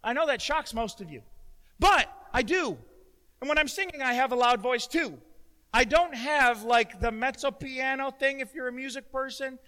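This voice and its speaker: Loud, bright-voiced pastor in a reverberant hall. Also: male voice, very high-pitched, moderate pace. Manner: loud, emotional, self-deprecating humor. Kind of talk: sermon.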